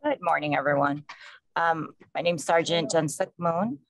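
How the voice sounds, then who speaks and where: A man with a New York accent, a police sergeant introducing himself on a panel in a plain, conversational way with many pauses. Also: fairly high pitch, a bit bright, no room sound. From New York, USA.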